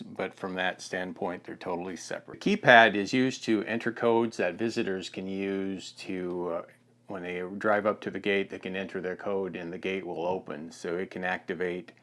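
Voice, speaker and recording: Very deep-voiced American man explaining a product. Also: a bit bright. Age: 50s. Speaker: product demonstrator. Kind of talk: instructional product demonstration, talking to camera. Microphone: lapel mic.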